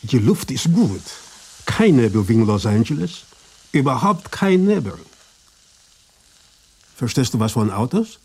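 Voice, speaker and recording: An actor playing a hearty, easygoing Greek diner owner in a radio drama, rough-voiced, speaking in short blunt phrases. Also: male voice, mid-range voice, very animated, unhurried, pauses often, darkish tone, exaggerated voice. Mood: hearty, proud. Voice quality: husky, rough.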